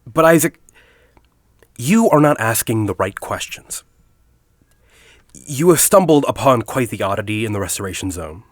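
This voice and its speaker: An actor playing a forty-year-old university headmaster in an audio drama, a secretive academic who speaks in a deliberate, articulate, confiding way. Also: male voice, on the low side, animated, dry recording, clean studio sound, lightly acted. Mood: guarded, challenging. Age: 40s. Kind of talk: audio drama dialogue and storytelling.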